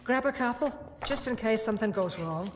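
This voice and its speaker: A woman playing a calm, firm alien prison librarian in an amateur audio drama. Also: a little high, fairly quick, slight background noise, lightly acted. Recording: reverberant hall with music.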